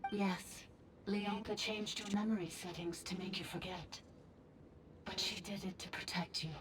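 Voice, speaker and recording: A woman's voice: mid-range voice, steady tone, measured pace, background noise, natural voice. A woman playing an ancient sovereign priestess speaking through a robot in a video game, solemn, formal and cryptic.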